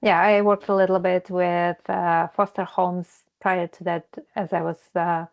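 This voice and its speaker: Ukrainian-born lady on a muffled video call, low-ish voice, talks slow with lots of pauses. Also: flat delivery, creaky. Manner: halting, searching for words. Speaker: counseling student. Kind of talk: video call group introduction. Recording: dull lo-fi video call audio.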